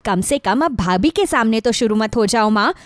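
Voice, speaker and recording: Woman acting a college-age daughter, high-pitched, blunt, lazy, self-centred, storybook dialogue. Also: lively intonation, normal speed, dry recording, natural voice. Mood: defensive. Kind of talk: acted dialogue in a moral story.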